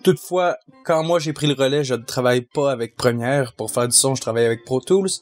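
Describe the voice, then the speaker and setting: A young man with a Québécois accent explains his sound work in a steady, hesitant way, pausing on fillers. His voice sits at an ordinary pitch for a man. Faint music plays behind him. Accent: Québécois French. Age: young adult. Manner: measured, hesitant with many fillers. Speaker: sound mixer. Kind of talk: casual Q&A answers.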